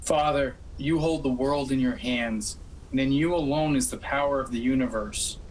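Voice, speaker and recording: An actor playing a devout, unyielding young man, speaking in a measured, earnest and firm manner in a radio play. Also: mid-range voice, close to an everyday voice. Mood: prayerful. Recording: faintly noisy home video-call recording.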